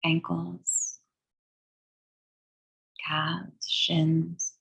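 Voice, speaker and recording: A woman leading a guided meditation in a soothing, measured, breathy way, leaving long pauses between phrases. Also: low-pitched, flat delivery, a bit bright, rough recording. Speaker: guided meditation and yoga teacher.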